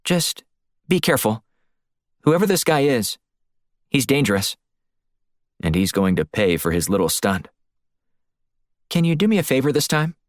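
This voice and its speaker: Man voicing an earnest woman agent, pitched up. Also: very animated, moderate pace, pauses often, no echo, studio quality, close to an everyday voice. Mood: concerned, urgent. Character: capable, cautious female agent, concerned and frank. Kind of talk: audiobook character voice.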